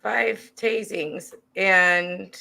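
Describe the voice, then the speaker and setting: A woman's voice: medium pitch, fairly even intonation, very slow, gravelly, pushed and bright. A woman co-hosting a livestream in casual chat, matter-of-fact as she keeps tally. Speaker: livestream co-host.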